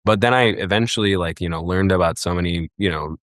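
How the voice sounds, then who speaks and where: Young man, from Egypt, very low voice, dry close sound, casual podcast guest, rambling, many fillers. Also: quick pace, a bit bright, no room sound. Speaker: guest, festival booker.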